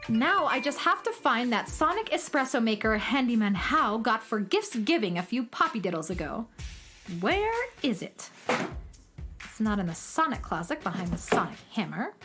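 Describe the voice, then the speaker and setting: A woman acts out a cocky, excitable young space adventurer for a children's radio play. Her voice sits high and leaps around energetically. She brags in slangy bursts, with music faintly behind her. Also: moderate pace. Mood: busy, self-satisfied. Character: brash, boastful, hyperactive young female space adventurer. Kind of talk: children's sci-fi radio comedy acting.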